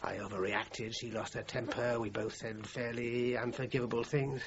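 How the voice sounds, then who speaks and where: A man's voice: medium pitch, flat delivery, normal speed, close to an everyday voice. An actor playing a defensive, down-on-his-luck film composer in a radio crime drama, hesitant and prickly in his self-justification. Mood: subdued, regretful. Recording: muffled, noisy radio drama recording.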